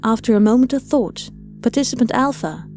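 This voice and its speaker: A woman's voice: on the high side, fairly quick, mellow. A woman reading scripted audio fiction as a story narrator, with music behind her.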